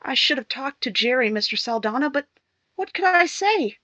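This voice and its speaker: An actress playing a distraught young wife in a 1950s-style radio crime drama, emotional, halting and pleading. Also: female voice, sits high, animated, pushed and bright, no echo, full character voice. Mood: anguished recounting. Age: young adult.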